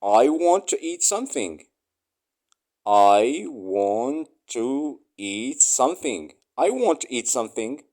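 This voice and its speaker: A man reading short English phrases aloud for learners, saying each one three times slowly and clearly. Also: mid-range voice, sing-song, a little mellow.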